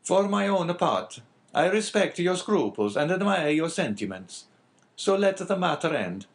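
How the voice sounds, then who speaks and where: A man voicing a polite, composed priest in an audiobook, formal, measured and probing. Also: high-pitched, big pitch swings, creaky, voice pushed up, heavily acted. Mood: feigned indifference.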